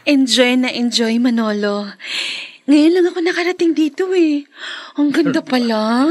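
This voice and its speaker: A woman's voice: high-pitched, a little slow, a little mellow, no echo. An actress playing an emotive young woman in a Filipino radio drama, with a Filipino accent. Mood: delighted, grateful. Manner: emotive, melodramatic. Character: young woman Pinky, sweet but anguished when wronged.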